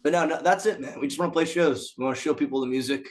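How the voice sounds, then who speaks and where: A raspy-voiced man from a band, joking and swearing in casual podcast chat, often acting out what people said. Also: fairly high pitch, rapid-fire. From Philadelphia area. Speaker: band member guest.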